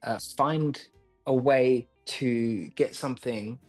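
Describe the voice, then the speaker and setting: A man from London, an acting coach being interviewed, thoughtful and quick to laugh. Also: medium pitch, no room sound, soft background music. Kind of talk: casual interview.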